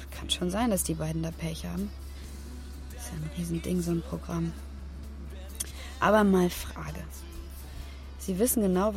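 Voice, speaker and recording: A cheeky female detective from a radio crime drama. Her voice sits a little low with plenty of creak. She talks in dry, colloquial irony, with faint music around her. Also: a little mellow. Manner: dry, ironic, clipped colloquial. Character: cheeky sardonic female police detective, weary but defiant.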